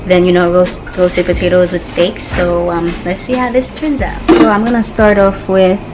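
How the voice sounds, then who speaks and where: A young woman talking casually while she cooks in her home kitchen, relaxed and unhurried with plenty of fillers. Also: fairly low pitch. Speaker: cooking vlogger. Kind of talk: casual cook-along narration. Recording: loud background music.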